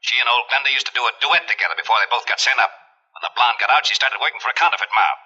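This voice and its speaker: Sharp, husky, exasperated police lieutenant. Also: male voice, medium pitch, very fast. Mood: brisk explaining. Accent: American. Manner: impatient, blustering. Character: exasperated, gruff police lieutenant, the detective's old friend. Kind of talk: 1940s radio detective acting. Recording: dull 1940s radio recording.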